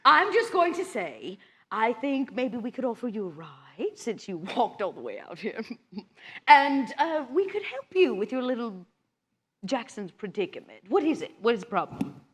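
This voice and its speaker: A woman acts out a poised vampire of a hundred years in a live roleplaying game. Her pitch is a little high and moves about freely. She bargains with playful, teasing self-assurance. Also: very animated, normal speed. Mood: wry, playful. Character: poised, playful hundred-year-old female vampire negotiator. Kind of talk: improvised tabletop roleplay before a live audience.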